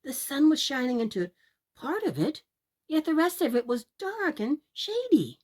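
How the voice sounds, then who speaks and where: A woman reading aloud as a children's storyteller, theatrical and deliberate, speaking directly to young listeners. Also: sits high, big pitch swings, a little mellow. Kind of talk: expressive read-aloud storytelling.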